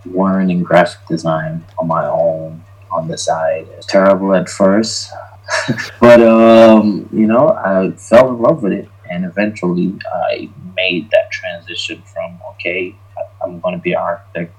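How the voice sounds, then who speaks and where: A Jamaican-born man, talking casually as an interview guest, relaxed and rambling with lots of ums. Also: low-pitched, measured pace, breathy edge, low-quality recording. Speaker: interview guest, graphic designer.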